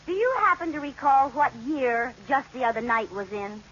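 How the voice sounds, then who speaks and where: An actress playing a playfully sarcastic housewife with teasing comic delivery in a 1940s radio sitcom. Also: female voice, high voice, animated, normal speed. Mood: placating, sarcastic. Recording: scratchy muffled old-time radio recording.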